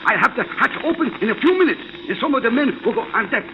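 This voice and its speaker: High-pitched colonel. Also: male voice, animated, fast. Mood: urgent, conspiratorial. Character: Japanese-American colonel, secret ally, clipped and urgent. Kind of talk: 1940s radio drama acting. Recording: noisy, muffled old radio recording.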